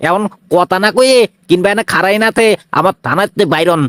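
A man's voice: sits high, a little fast, a bit bright, no room sound, studio quality. A man voicing a brash, bullying cartoon police inspector, blunt and threatening, with a Bangladeshi regional Bengali accent. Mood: menacing. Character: brash, bullying male police inspector, tough and self-righteous. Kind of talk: comic cartoon dialogue.

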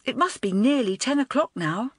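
Woman voicing an eager schoolgirl, high and lively, storybook. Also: normal speed, breathy edge, no echo, studio quality. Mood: excited. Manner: bright, breathless, high girlish delivery. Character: excited schoolgirl, eager and polite.

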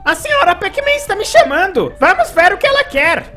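A woman's voice: very high voice, sing-song, fairly quick, sharp tone, faint music behind, voice pushed up, heavily acted. A woman voicing a cheerful, friendly cartoon video game hero in an eager, exclamatory way. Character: cheerful, friendly cartoon Pac-Man, eager adventure guide. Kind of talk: dubbing retro video game dialogue.

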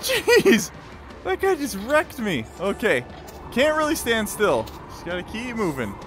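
Male gamer, exclamatory live commentary, very lively pitch swings, unhurried overall pace. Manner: exclamatory, short self-directed bursts. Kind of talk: excited live gameplay commentary. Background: game music.

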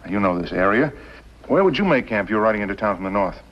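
Cunning, low-voiced western villain. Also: male voice, big pitch swings. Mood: calculating, menacing. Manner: deliberate, probing, commanding. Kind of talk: 1950s western TV acting. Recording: muffled vintage TV soundtrack.

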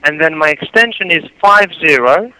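Bright, patient support man. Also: on the high side, lively intonation, normal speed, clean tone, low-quality recording. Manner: patient, polite, repeats himself. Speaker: tech support agent. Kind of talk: phone support call.

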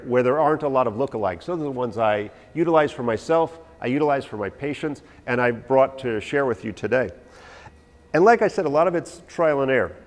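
A man in his forties, an herbalist, giving a lively, anecdotal and self-deprecating talk to a live audience in a large hall, recorded from a far camera. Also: mid-range voice. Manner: animated, anecdotal, self-deprecating, gesturing while talking. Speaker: herbalist lecturer. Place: large hall with a stage, indoors. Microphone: no visible mic, far camera.

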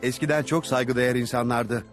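A man's voice: medium pitch, fairly even intonation, brisk, soft background music, natural voice. A man voicing a gossiping townsman in a dubbed cartoon. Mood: gossipy.

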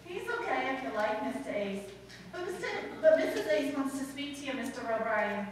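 A woman's voice: sits high, animated, moderate pace. A woman playing a naive, questioning secretary who frets plainly in an old-time radio comedy on stage. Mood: obliging. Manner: plain-spoken, questioning, worried. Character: naive, doubtful young secretary friend, eager to please. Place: public hall stage before seated audience. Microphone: far camera. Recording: echoey hall, distant mic, faint noise.

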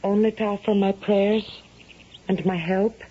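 An actress playing a devout, earnest young daughter in a radio drama, gentle and steadfast. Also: female voice, mid-range voice, fairly even intonation, unhurried, breathy edge. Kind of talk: 1970s radio drama acting. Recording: muffled 1970s radio broadcast.

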